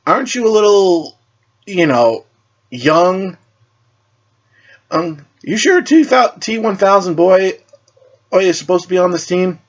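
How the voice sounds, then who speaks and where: A man's voice: high-pitched, expressive, slow, pauses often, highs cut off, voice pushed up, full character voice. A man voicing a skeptical, needling teenage speedster hero in a superhero story, with a wry manner.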